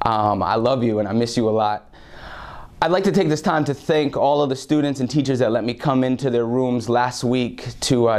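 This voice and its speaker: A young man announcing an event to a school in an unhurried, soft-spoken way, often pausing on um and uh, recorded on a lapel mic in a small studio. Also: medium pitch. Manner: unhurried, soft-spoken, with frequent ums and uhs. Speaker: poet promoting an event. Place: small studio with a curtain backdrop.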